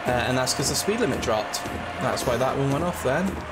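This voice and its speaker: A young man with a slightly raspy, hoarse voice from a cold, chatting loosely with lots of fillers while playing a train simulator. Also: mid-range voice, expressive, fairly quick, loud music behind the voice. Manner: rambling, chatty, with frequent fillers. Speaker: gaming channel host. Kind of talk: casual commentary over a train simulator. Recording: loud game train noise behind.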